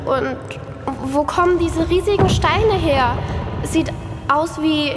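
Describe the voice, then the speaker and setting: A woman's voice: sits high, slow. An actress playing a plucky, curious and cheeky young girl in a children's audio drama. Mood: curious, startled. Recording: long reverb, music behind. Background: music.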